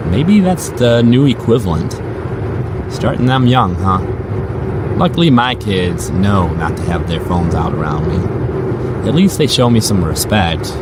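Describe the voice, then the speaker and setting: A man's voice: fairly low pitch, sing-song, measured pace, very noisy. A man playing a weary, brooding rideshare driver and father, narrating an audio drama in a somber, plain-spoken, introspective way. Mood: brooding, reflective.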